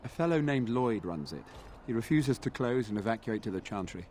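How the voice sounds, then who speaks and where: An actor playing an earnest, dutiful knight in a fantasy video game, speaking formally and courteously. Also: male voice, lowish voice, lively intonation, brisk, a little mellow, a little hiss or hum, close to an everyday voice. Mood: disdainful. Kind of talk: video game dialogue acting.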